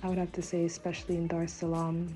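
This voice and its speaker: A woman from Tanzania giving a relaxed, conversational prepared talk as a podcast guest, with occasional ums. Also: fairly low pitch, quick pace.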